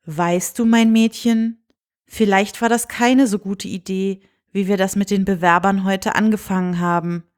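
A woman voicing a kindly, reassuring king and father in a storybook, calm, friendly and unhurried. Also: mid-range voice, smooth, close to an everyday voice. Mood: thoughtful. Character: kindly, reassuring old-fashioned fairy-tale king and father.

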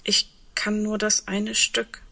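A woman playing a resigned, composed but fearful woman in an intimate audio drama, halting and often dropping into a hush. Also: medium pitch, monotone, creaky, heavily acted.

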